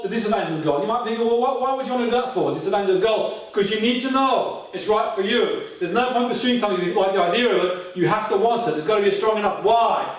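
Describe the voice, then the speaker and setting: High-voiced male motivational speaker, very echoey room. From Australia. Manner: direct address with repeated catchphrases and rhetorical questions. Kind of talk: motivational goal-setting seminar talk. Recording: very echoey room, distant mic.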